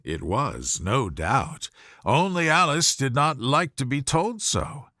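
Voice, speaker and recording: Man narrating a storybook, lively pitch swings. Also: no room sound, studio quality. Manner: measured, expressive bedtime storytelling. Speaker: audiobook reader.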